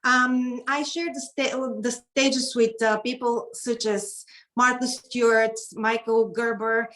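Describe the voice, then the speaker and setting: A middle-aged woman with a Bulgarian accent introduces herself as a guest speaker on a video call, in a slightly halting way. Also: a little high, steady tone, a bit bright. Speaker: guest keynote speaker and etiquette consultant. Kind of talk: informal self-introduction speech.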